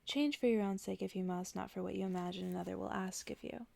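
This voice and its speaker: A woman voicing a weary, blunt old witch in a soft ASMR storybook reading, dry and chiding in manner. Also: mid-range voice, steady tone, fast, creaky.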